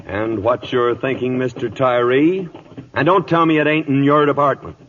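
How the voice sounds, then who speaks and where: An actor playing a gruff, commanding, dryly sardonic older cavalry captain in a 1950s radio drama. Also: male voice, medium pitch, steady tone, normal speed, fairly bright tone, natural voice. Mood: dry, wry. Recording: dull, noisy old radio broadcast recording.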